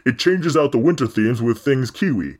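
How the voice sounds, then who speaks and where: A man's voice: mid-range voice, expressive, normal speed, darkish tone. A man co-hosting a podcast, reading listener mail with a dry, teasing delivery. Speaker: podcast co-host. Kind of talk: casual podcast chat.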